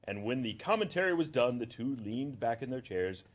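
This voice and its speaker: Lively man reading aloud theatrically. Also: mid-range voice, fairly quick. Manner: theatrical, often breaking off to chuckle and comment. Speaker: reader on a charity livestream. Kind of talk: comedic read-aloud of fan fiction with ad-libs.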